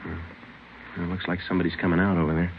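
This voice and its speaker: Actor as a deep-voiced, deadpan detective sergeant. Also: male voice, rapid-fire, slight background noise, lightly acted. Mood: low-key. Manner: clipped, matter-of-fact, deadpan. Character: laconic male police detective sergeant on stakeout. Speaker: detective and narrator. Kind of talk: 1950s radio police drama acting. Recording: tinny old radio broadcast, music behind.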